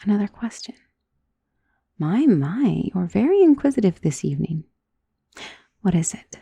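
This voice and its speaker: A woman playing a centuries-old, wealthy vampire in an ASMR roleplay, doting and possessive, speaking in a soft, intimate, genteel and commanding manner. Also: mid-range voice, sing-song, creaky, breathy edge, no echo, heavily acted. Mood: calm, assured. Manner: soft, intimate, genteel, unhurried endearments, often dropping into whisper. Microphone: close microphone in a dry space.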